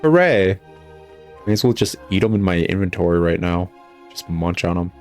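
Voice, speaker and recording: A man's voice: deep voice, animated, moderate pace, a little mellow, soft background music. A man giving casual, critical video game commentary in a sarcastic, exasperated, rambling way. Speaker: gaming video host, critic.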